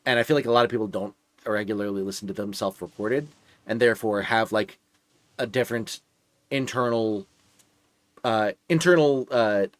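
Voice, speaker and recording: A man's voice: lowish voice, normal speed, no room sound. A young man with a slightly nasal voice streaming live, chatting casually and rambling with lots of filler words and restarts. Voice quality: a bit nasal.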